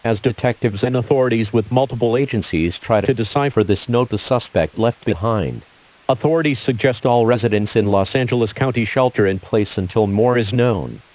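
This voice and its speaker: A man playing an emergency alert announcer, reading a scripted police warning in a formal, measured, official manner. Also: mid-range voice, a little mellow, natural voice. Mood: formal, grave. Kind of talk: scripted emergency broadcast narration. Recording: dull lo-fi audio.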